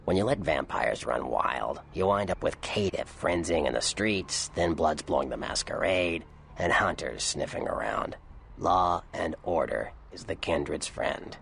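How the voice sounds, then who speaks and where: Very deep, gravelly vampire informant. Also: male voice, animated, unhurried, a bit bright, a little hiss or hum, full character voice. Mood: matter-of-fact. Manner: dry, wry, slangy, explanatory. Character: worldly, sardonic old vampire informant, man. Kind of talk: video game character dialogue.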